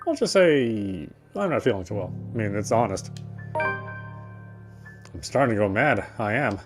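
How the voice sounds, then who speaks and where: A man's voice: on the low side, expressive, measured pace. A man playing a visual novel and reading its narration aloud as he goes. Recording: game music behind the voice.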